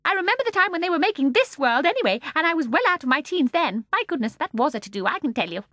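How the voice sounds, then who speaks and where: A shrill, squeaky old crone of a shopkeeper, tiny and ancient and ruling her daughters with a tyrant's temper. The voice leaps high and swings wildly, gleeful chatter giving way to soft, fierce menace. Dry, close sound with a breathy edge. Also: big pitch swings, fast, dry recording. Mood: gleeful, chatty.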